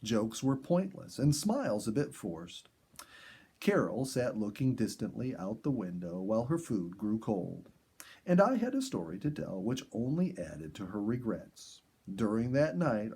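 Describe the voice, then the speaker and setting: Male audiobook reader, mellow and slightly husky, lively pitch movement, storybook delivery. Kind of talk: expressive storybook narration.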